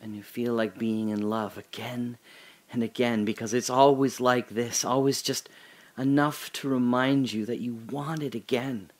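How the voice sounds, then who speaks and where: An actor in an audio drama playing a rambling detained man, bitter and sardonic, who swings from hushed musing to indignant rants. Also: mid-range voice, fairly even intonation, unhurried, lightly acted. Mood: wistful.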